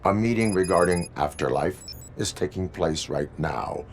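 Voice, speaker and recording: An actor playing a stoic male cyborg police officer, speaking in flat, clipped, formal lines in a video game cutscene. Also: deep voice, normal speed, faint music behind. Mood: stern.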